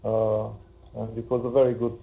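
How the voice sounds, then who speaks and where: A poet and translator from Romania, a Hungarian speaker, talking hesitantly with many fillers over a video call. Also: male voice, on the low side, level tone, moderate pace. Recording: tinny call audio.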